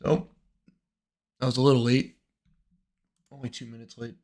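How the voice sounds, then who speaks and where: A young man with a cold, slightly hoarse, chatting in a relaxed, rambling way as host of a trading livestream. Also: mid-range voice, moderate pace. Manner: relaxed, rambling, many fillers. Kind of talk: casual livestream chat.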